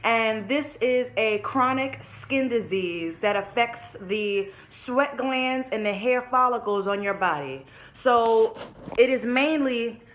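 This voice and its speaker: A woman's voice: on the high side, a bit bright. A woman in her early twenties telling her story to viewers in a candid vlog, frank and casual, swearing freely and calling her audience y'all. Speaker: vlogger.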